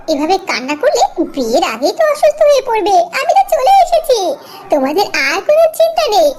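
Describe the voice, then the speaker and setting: A woman's voice: very high-pitched, voice pushed up, full character voice. A woman voicing a kind, reassuring magical fairy in a cartoon tale, theatrical and assured. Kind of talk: cartoon story character acting.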